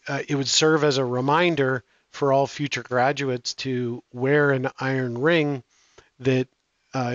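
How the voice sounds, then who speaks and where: A middle-aged man answering interview questions in a relaxed, halting way, with many restarts and fillers. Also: mid-range voice, dry recording, lo-fi sound. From Canada. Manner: hesitant, frequent restarts and fillers. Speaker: guest.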